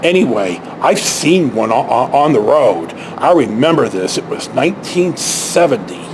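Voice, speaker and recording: A man tells stories casually, in a halting, rambling way with asides and self-corrections. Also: mid-range voice. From San Francisco Bay Area, United States. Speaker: vlog host telling personal stories. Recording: clear steady background noise.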